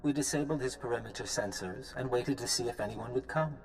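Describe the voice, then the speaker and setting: A man's voice: mid-range voice, slight background noise, natural voice, low-quality recording. Man acting smug, mocking corporate villain. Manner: sardonic, mock-polite, unhurried taunting. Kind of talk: video game voice acting. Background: game music.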